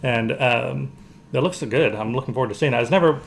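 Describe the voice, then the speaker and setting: A man co-hosting a show, casually discussing movies in a halting, hedging way as he explains things. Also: medium pitch, vocal fry, slight background noise. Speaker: podcast co-host.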